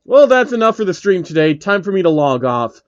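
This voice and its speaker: A hot-headed, cocky male game streamer from a fan story, acted out by a male narrator. He whines indignantly in a raised, very animated voice. The recording is dry and slightly dull. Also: high-pitched, fairly quick, smooth, dry recording, pitched above the performer's own voice. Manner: complaining, indignant outbursts.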